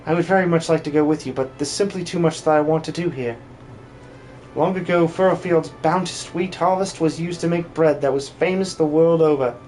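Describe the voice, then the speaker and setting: A man voicing a polite, earnest villager with gentle, formal speech in a game dub. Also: fairly high pitch, a little fast, a little hiss or hum, close to an everyday voice, low-quality recording. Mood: grateful, earnest.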